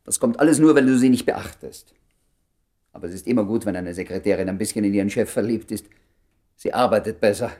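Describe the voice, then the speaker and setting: Actor as low-voiced, dry doctor friend. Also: male voice, a little fast, darkish tone, natural voice. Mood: teasing. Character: doctor friend, concerned, dry, probing, familiar. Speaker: actor in a radio play. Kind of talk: conversational German radio play acting.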